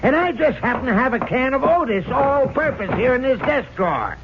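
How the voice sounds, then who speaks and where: A man's voice: very high voice, very animated, fairly quick, exaggerated voice. An actor playing a brash, skeptical American business tycoon and father in an old radio drama, scoffing in slangy bluster. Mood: scornful. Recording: noisy, dull old radio recording.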